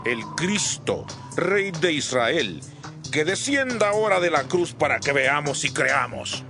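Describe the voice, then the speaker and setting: Man acting a scornful, high-voiced chief priest. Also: big pitch swings, takes it slowly, music in the background, full character voice. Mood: scornful mocking. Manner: mocking, scornful. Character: scornful chief priest mocking with haughty contempt. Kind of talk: dramatized scripture audiobook acting.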